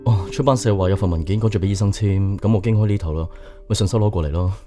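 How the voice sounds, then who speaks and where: An actor playing an earnest, polite young suitor in a radio drama, with a Hong Kong Cantonese accent. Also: male voice, fairly low pitch, quick pace, mellow, faint music behind, natural voice. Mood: shy, earnest. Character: earnest, polite young man shyly courting a woman.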